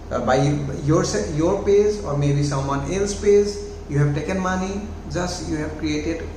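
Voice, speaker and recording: A young man from Assam with an Assamese accent, English not his first language, giving halting casual advice to camera on a lapel mic at home, with many restarts and fillers. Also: on the high side. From Assam, India. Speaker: YouTube host. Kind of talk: casual talking-head advice. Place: small room at home, indoors. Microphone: clip-on lapel mic. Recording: very echoey room with audible noise.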